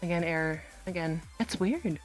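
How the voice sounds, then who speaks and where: A woman's voice: on the low side, moderate pace, poor audio. Giggly, hesitant woman chatting in a game, music behind. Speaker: role-play gamer. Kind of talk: casual improvised role-play chat in a game.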